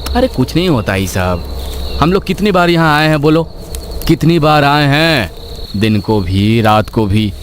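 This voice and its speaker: A man voicing a hardworking village farmer husband with a Bihari accent, colloquial and reassuring, in an animated horror story. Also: on the high side, a little slow, slight background noise, pitched above the performer's own voice. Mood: dismissive, reassuring. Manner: colloquial, reassuring, matter-of-fact.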